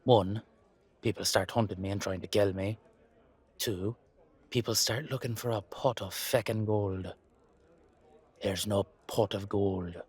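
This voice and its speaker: A man's voice: fairly low pitch, fairly even intonation, unhurried, pauses often, darkish tone, breathy edge. A man voicing a wry, blunt leprechaun with an Irish accent, dry and colloquial, with a hint of menace. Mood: wry, warning.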